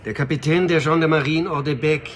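An actor playing a calm, patient middle-aged police commissioner in a radio crime drama, with an understated, halting, matter-of-fact manner. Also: male voice, a little high, noisy background, lightly acted. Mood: patient, puzzled.

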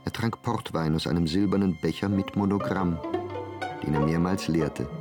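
Low-voiced male literary narrator over music. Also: moderate pace. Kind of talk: scripted literary narration in radio play. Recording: prominent music behind narration.

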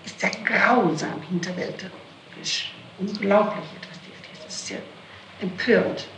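A woman's voice: mid-range voice, unhurried, a bit bright. A middle-aged woman answering questions in a recorded interrogation, emphatic, indignant and defensive, on an old tape. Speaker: accused being questioned. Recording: old echoey, noisy tape, distant mic.

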